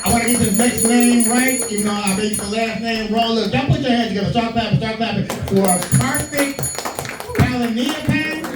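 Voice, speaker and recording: A man emcees a comedy club night, calling up the next act with loose, hyped patter. His voice is very high for a man. It comes from far off in a very echoey room with loud music underneath. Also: normal speed. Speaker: comedy show host. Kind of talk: introducing comics.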